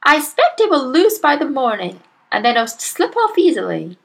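A woman's voice: sits high, big pitch swings, normal speed, vocal fry, fairly bright tone, pitched above the performer's own voice, exaggerated voice. A woman voicing a bossy, scornful wife and mother in a storybook, scolding and nagging. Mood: dismissive.

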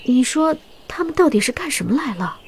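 A woman playing a gentle, curious female astronaut and mother who asks soft questions in an audio drama. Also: high voice, very animated, brisk, slight background noise. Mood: dazed, curious.

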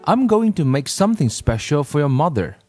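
A man voicing a big, energetic father with wild hair who gives brisk orders in the kitchen. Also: on the high side, slightly rough, soft background music, pitched above the performer's own voice. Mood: bossy.

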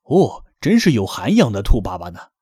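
A man doing a calm, friendly bear dad. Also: lowish voice, normal speed, slightly rough, dry recording, clean studio sound, full character voice. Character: warm, hospitable bear father.

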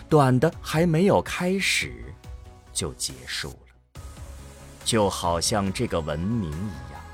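Slow, dramatic male narrator, low voice. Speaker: narrator of a science fiction audio drama.

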